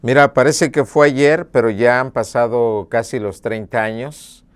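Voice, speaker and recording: A middle-aged man from Mexico, a local media director, telling his story in an earnest, measured documentary interview. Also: mid-range voice, fairly even intonation, clear, resonant tone. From Mexico (Puebla). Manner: earnest, measured, with hesitation fillers.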